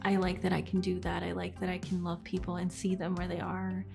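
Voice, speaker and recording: A woman in her thirties talks in an earnest, measured way, her pitch held fairly level. Soft music plays faintly underneath. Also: monotone, gravelly. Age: 30s. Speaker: interviewee. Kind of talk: reflective interview.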